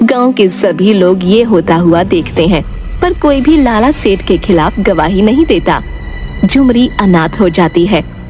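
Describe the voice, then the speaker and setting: A woman's voice: mid-range voice, lively intonation, unhurried, darkish tone. Woman narrating a story over music. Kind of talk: scripted children's story narration.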